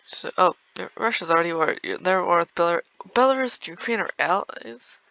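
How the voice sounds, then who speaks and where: A man's voice: sits high, a little fast, vocal fry, bright, forceful tone, dry recording, lo-fi sound. A young man giving rambling, casual commentary over a strategy game, full of fillers and small laughs. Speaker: gameplay video creator.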